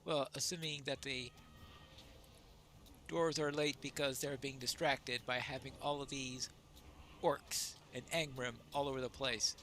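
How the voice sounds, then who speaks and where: A man's voice: medium pitch, level tone, unhurried, sharp tone. A man narrating his video game playthrough in a casual, wry, musing way. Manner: wry, musing, mock-polite.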